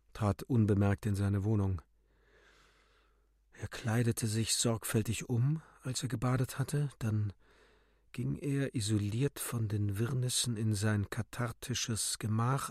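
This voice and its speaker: Slow, solemn male literary narrator. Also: lowish voice, darkish tone, dry recording, clean studio sound. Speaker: narrator of a literary radio drama.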